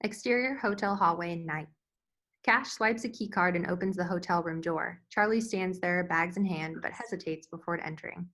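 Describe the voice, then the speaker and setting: A woman's voice: on the low side, normal speed, fairly bright tone, poor audio. A woman reading scene directions as scripted narration at a screenplay table read. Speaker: stage directions reader.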